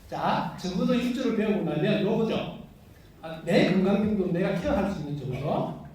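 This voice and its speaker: A high-pitched man with a Gyeongsang accent lectures off the cuff to a roomful of students, often asking if they follow along. His voice carries from across a very reverberant room. There is a faint hiss under the recording. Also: a little mellow. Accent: Gyeongsang Korean. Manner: chatty, asks the class for agreement, laughs often. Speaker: health lecturer. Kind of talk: unscripted classroom lecture. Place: lecture room with a live audience. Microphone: no working mic, heard from across the room. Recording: very echoey room, distant from mic.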